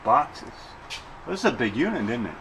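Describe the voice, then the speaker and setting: A man casually walks through a storage unit and points out items as he films. His voice sits at an ordinary middle pitch. Loud outdoor noise runs behind him. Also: big pitch swings, normal speed. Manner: naming items as he looks around. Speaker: storage auction filmer. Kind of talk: casual walkthrough commentary.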